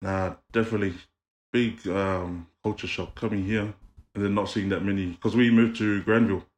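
A young man from New Zealand, chatting casually as a guest, relaxed and quick to laugh, using clipped slang. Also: fairly low pitch. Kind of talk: casual chat.

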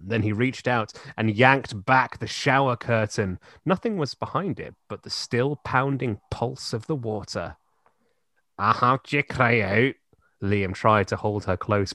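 A man reads a romance novel aloud in a fairly low voice, hamming up the drama and slipping in dry jokes. The sound is close and dry, with no room in it. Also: unhurried, a bit bright, dry recording. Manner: mock-dramatic reading, jokey asides. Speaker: podcast host reading a novel aloud. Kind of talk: comedic group reading and banter.

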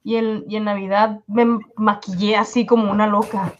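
A woman's voice: mid-range voice, little pitch movement, moderate pace, highs cut off. A woman co-hosting an online show, chatting casually with viewers and her fellow host. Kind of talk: casual live-stream chat. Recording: clear background noise, clicks.

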